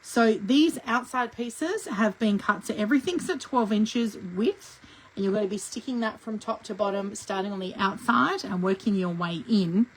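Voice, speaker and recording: A middle-aged woman leads a live scrapbooking lesson, talking through each step in a chatty, friendly way. Her voice is croaky from a cough that hasn't gone away, and she clears her throat now and then. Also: medium pitch, normal speed, slight background noise, rough recording. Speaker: scrapbooking instructor. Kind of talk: live craft tutorial.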